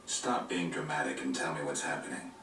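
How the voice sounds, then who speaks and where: A man's voice: a bass voice, steady tone, brisk, pushed and bright. An actor playing a blunt, terse male monster hunter in a game cutscene. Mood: curt. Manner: terse, dry. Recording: echoey distant game audio with music.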